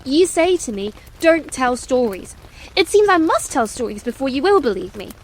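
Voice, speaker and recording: A woman's voice: sits high, big pitch swings, normal speed, pitched above the performer's own voice, low-quality recording. A woman voicing a self-possessed little princess in a storybook reading, precise and politely imperious. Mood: composed, indignant.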